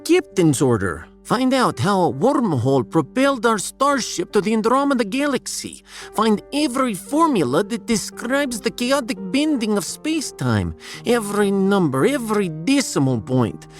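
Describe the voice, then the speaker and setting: Anguished Russian scientist, wildly swooping voice. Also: male voice, sits high, big pitch swings, moderate pace, faint music behind. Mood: despairing. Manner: theatrical, fretful. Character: anguished, dreamy, self-doubting male Russian scientist. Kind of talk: sci-fi audio drama acting.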